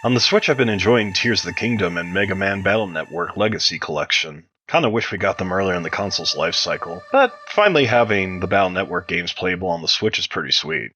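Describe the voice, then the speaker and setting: Low, bright-voiced man answering interview questions. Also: lively intonation, quick pace, few pauses, a little hiss or hum. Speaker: interview guest, game mod developer. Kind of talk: prepared, read-out interview answers.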